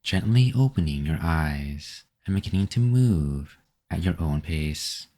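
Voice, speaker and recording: Very deep, mellow man guiding meditation. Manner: calm, gentle, measured instruction with pauses. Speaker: meditation guide. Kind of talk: scripted guided meditation.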